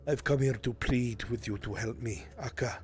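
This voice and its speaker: A man playing a grave, earnest character in a fantasy roleplay, speaking deliberately with dramatic pauses. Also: mid-range voice, soft background music, close to an everyday voice. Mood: urgent pleading. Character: grave, earnest man pleading for a lost enslaved friend. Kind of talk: in-character improvised fantasy acting.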